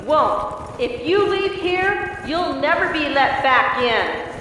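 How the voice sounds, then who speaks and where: A woman voicing a stern, imperious female pony overseer in an audio drama, giving orders over echoing loudspeakers. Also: lively intonation, takes it slowly, a little hiss or hum, full character voice. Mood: stern, warning. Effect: loudspeaker with long reverb. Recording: booming echo over speakers, long reverb.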